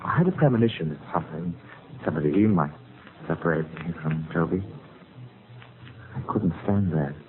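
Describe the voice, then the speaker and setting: An actor playing a proud, brooding, touchy music-hall ventriloquist in a vintage radio drama, speaking haltingly and intensely. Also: male voice, sits low, lightly acted. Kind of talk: 1940s radio drama acting. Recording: noisy, tinny vintage radio recording.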